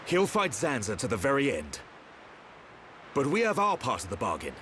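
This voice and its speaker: An actor playing an earnest, hot-blooded young fighter in a video game, urgent and forceful. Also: male voice, medium pitch, wildly swooping pitch, moderate pace, slight background noise. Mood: resolute, urgent. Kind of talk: video game cutscene acting.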